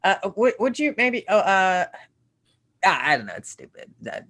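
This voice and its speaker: A man's voice: high-pitched, wildly swooping pitch, normal speed, sharp tone, heavily acted. A man playing a bashful, awkward, eager aspiring writer who hesitates and stammers, in a screenplay table read. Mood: nervous, hesitant.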